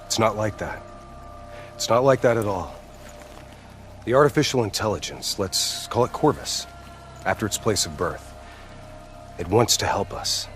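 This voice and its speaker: An actor playing an obsessed, menacing soldier gone rogue, intense and confrontational, in a video game cutscene. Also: male voice, on the low side, animated, unhurried, long pauses, fairly bright tone, faint music behind. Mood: insistent.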